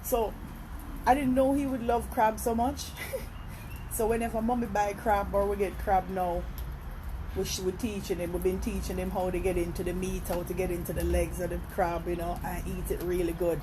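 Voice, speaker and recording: A woman from Jamaica with a Jamaican accent, chatting casually on a phone live stream at a seafood restaurant and breaking off her stories to give viewers shout-outs. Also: lowish voice, flat delivery, moderate pace, background noise. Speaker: vlogger.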